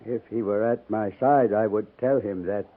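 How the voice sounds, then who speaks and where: A man's voice: on the low side, steady tone, lightly acted. Tinny old-radio dying old chief, played by a man. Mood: regretful. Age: older. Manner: solemn, measured, formal poetic phrasing. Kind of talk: 1950s radio drama acting. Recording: narrow tinny 1950s radio recording.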